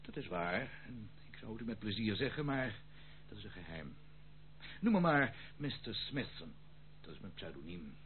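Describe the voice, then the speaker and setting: A man's voice: on the low side, sing-song, normal speed. An actor playing a roguish, secretive male intruder in a radio play, nonchalant, teasing and theatrical. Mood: cagey, nonchalant. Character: roguish, secretive prodigal intruder, jaunty and sly. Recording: tinny old radio recording, faint noise.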